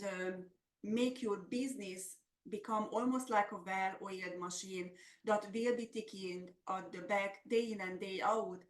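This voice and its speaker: A middle-aged woman with a Hungarian accent, presenting a semi-scripted business webinar as a coach. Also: medium pitch. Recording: roomy, echoey sound.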